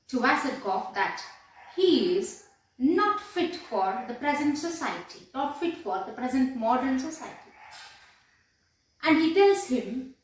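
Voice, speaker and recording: A woman with an Indian English accent lecturing as a college professor, deliberate and emphatic, repeating phrases for her students. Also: sits high. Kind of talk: classroom lecture on a play. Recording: distant mic in echoey room.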